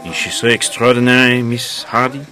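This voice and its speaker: An actor playing a sly hotel manager in a radio drama. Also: male voice, mid-range voice, level tone, fairly quick, bright, forceful tone, soft background music, lightly acted. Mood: quietly concerned. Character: amused, sly French hotel manager, confidant to the house detective.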